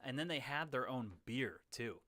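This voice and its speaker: A young man hosting a casual podcast, easygoing and quick to laugh. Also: mid-range voice, expressive, bright, forceful tone. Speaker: podcast host.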